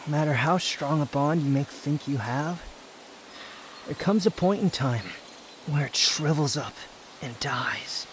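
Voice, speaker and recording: A man playing an aloof, proud young wanderer in an intimate roleplay audio drama, guarded yet secretly fond, with a brooding, haughty delivery full of pauses. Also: fairly high pitch, a little hiss or hum, lightly acted, poor audio. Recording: outdoor nature ambience with insects. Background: insect and nature ambience.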